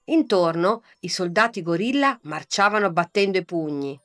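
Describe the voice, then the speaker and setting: A woman narrates a children's bedtime fairy tale in a soft, calm and lulling way. Her voice sits a little low. Faint music plays behind her. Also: moderate pace. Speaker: storyteller. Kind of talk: scripted bedtime story narration.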